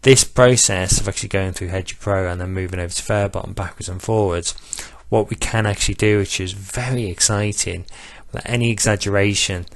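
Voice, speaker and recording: A man walking through betting software in a tutorial, speaking in a self-described monotone with frequent "uh" pauses. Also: low voice. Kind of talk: screen-recorded tutorial walkthrough.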